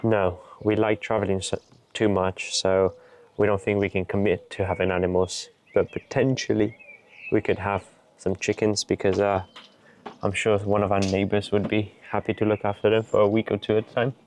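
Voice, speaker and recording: A young man with a Bulgarian accent, casually explaining as he cooks outdoors in a garden, relaxed and matter-of-fact. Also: on the low side, normal speed, a little hiss or hum. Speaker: vlogger. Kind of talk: casual explaining while cooking.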